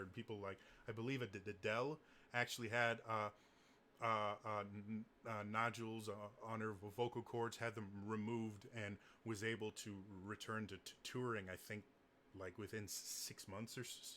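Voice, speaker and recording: A man with a naturally deep voice giving casual singing tips, stuttering often on the first sounds of words. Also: sharp tone, a little hiss or hum. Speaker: singing coach. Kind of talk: casual advice talk to camera.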